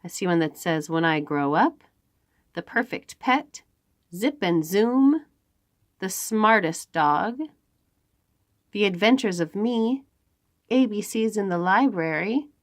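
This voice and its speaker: A woman reads a picture book aloud for young children. Her delivery is expressive and highly animated, with a mid-range voice that rises and falls widely. She leans on important words and leaves dramatic pauses. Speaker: children's read-aloud host.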